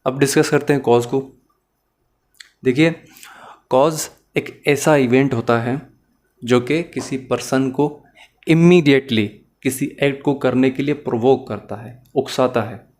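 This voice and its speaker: A man's voice: medium pitch, slow, darkish tone. A man teaching a law lecture, explaining each point step by step and mixing English legal terms into his Hindi.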